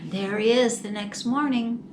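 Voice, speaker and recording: A woman reading a picture book to young children in a slow, animated, deliberate storytime style. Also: on the high side. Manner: deliberate, animated, drawn-out phrasing. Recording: distant mic, faint background noise.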